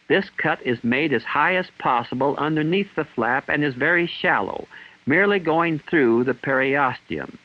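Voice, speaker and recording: A man reading scripted instructional narration in a formal, measured, clinical manner, in the style of a 1960s training film. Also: on the high side. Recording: dull 1960s film soundtrack.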